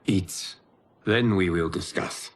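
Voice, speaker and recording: A man playing a calm, benevolent god and mentor in a video game, speaking formally and gravely. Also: low voice, slow pace. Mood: calm, solemn. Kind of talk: video game cutscene acting.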